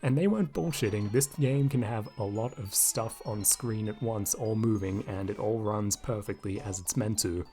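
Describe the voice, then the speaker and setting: Guy rambling over a game he loves, mellow kinda raspy voice, faint music underneath. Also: on the low side, normal speed, hardly any pauses. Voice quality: slightly raspy. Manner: rambling, thinking aloud with many asides. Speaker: video game commentator. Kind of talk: casual let's-play commentary. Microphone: desk microphone.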